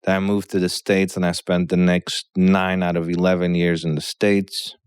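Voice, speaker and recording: Polish-born man in his thirties, low and smooth, dry close sound, casual rambling storyteller who keeps asking "yeah?". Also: little pitch movement, moderate pace, dry recording. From Poland. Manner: rambling, frequent "yeah?" check-ins. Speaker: guest comedian.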